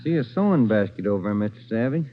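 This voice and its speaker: Folksy police detective, muffled old radio. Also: male voice, lowish voice, sing-song, fast, a little hiss or hum. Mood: casual, probing. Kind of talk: 1940s radio crime drama acting.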